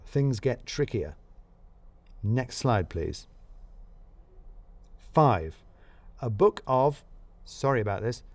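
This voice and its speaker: A man's voice: medium pitch, darkish tone, no echo. A man narrating a scripted audio drama as a detective presenting his case, dry and wry.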